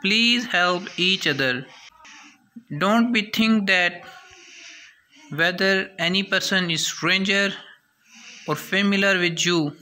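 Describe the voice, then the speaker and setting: A puppet show maker giving children a moral message in slow, simple, halting speech, recorded on a phone camera. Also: medium pitch, pushed and bright, poor audio.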